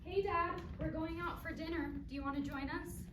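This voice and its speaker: A woman's voice: extremely high pitch, fairly even intonation, moderate pace. A woman playing an emotional grown daughter, hurt yet caring, in a staged play reading. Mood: concerned, coaxing. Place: live indoor staged reading, far from mic. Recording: distant, echoey room recording with loud noise.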